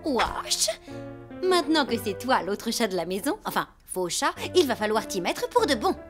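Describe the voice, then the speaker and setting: A bossy female house cat from a children's cartoon. She speaks high and with big melodic swings, insistent and demanding, over soft music. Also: very animated, moderate pace. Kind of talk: animated children's cartoon dub.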